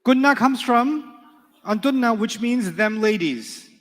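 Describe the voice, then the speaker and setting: A man with a fairly high voice teaches a live class. His first language is Urdu, and he keeps it playful, drilling students and telling stories. The sound carries a long reverb from a big lecture hall. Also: lively intonation, a little slow. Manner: playful, joking, quizzes students in call-and-response. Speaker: Arabic teacher.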